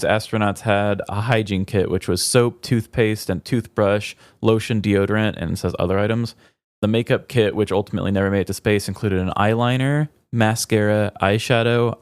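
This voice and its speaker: A man's voice: lowish voice, fairly even intonation, normal speed, clear, resonant tone, no echo. A man co-hosting a comedy podcast in a chatty, wry, casual way, close to the mic. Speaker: podcast co-host. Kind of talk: casual comedic chat, reading trivia aloud.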